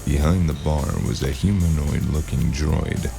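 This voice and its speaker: An actor playing a world-weary, terse and wry hero in a noir audio drama, with brooding, laconic delivery. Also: male voice, rumbling low, steady tone, a little slow, vocal fry, music in the background, full character voice. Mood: brooding narration. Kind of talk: noir first-person narration and dialogue.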